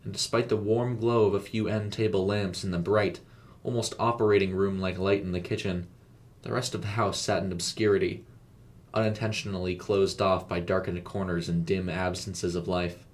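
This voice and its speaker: Low-voiced man narrating a story. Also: fairly even intonation, normal speed. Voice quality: low-pitched.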